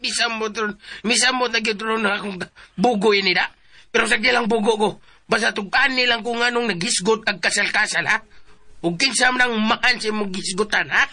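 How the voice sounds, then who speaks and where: An actor playing a bickering, easily muddled comic companion in a radio drama, nagging with questions and broken phrases. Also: male voice, sits high, moderate pace, pushed and bright, heavily acted. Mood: confused, exasperated.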